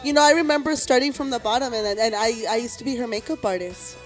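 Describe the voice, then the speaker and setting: A woman, chatting as a podcast guest in a deep, husky voice and mixing English with Spanish. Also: fast, faint music behind, low-quality recording. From South Texas. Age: 30s. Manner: switches between English and Spanish mid-sentence. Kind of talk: casual chat.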